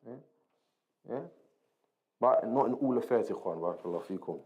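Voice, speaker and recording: A man's voice: on the low side, normal speed, a little mellow. A man giving an informal Islamic lesson, explaining point by point in a conversational way, repeating key phrases and checking in with short tag questions. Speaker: religious teacher reading and explaining a book.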